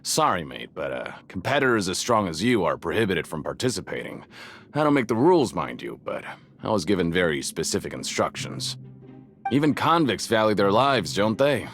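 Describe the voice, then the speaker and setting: A man's voice: low-pitched, very animated, moderate pace, fairly bright tone, soft background music, full character voice. A man voicing a breezy, slick game-stall operator in a video game, apologetic but salesman-like. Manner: casual, salesman-like patter.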